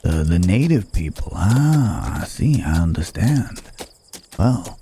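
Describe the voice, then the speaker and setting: Man acting a slow, gravelly cowboy drifter. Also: sing-song, warm tone, a little hiss or hum, exaggerated voice. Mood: wry, storytelling. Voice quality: low, gravelly. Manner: folksy old-West drawl phrasing, unhurried, hesitant pauses. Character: rugged wandering cowboy stranger, gently teasing, dangerous but caring. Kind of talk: ASMR roleplay, intimate acted monologue to the listener.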